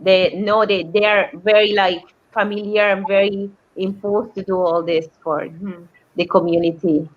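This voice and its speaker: A middle-aged woman from Colombia with a Spanish accent, speaking English haltingly and searching for words in a casual chat. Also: mid-range voice, steady tone. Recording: dull lo-fi sound.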